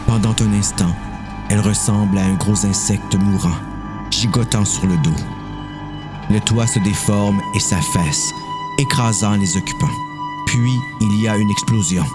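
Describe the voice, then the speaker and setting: A man's voice: lowish voice, unhurried. A man with a Québécois accent giving a dramatic scripted narration of a story. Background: music.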